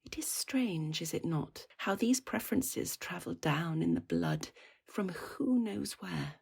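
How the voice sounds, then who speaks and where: A woman playing a reflective older storyteller in an audio drama, narrating her past in measured, old-fashioned language. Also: fairly low pitch, animated, vocal fry, a little mellow, slightly rough, heavily acted. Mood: wistful, reflective. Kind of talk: literary first-person narration.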